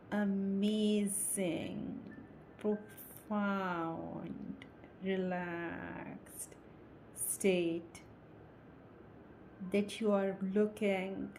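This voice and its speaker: A woman leading a guided hypnosis session in a soothing, deliberate way, speaking in short phrases with long pauses. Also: mid-range voice, gravelly, a little mellow, a little hiss or hum. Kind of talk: slow guided meditation, hypnosis induction.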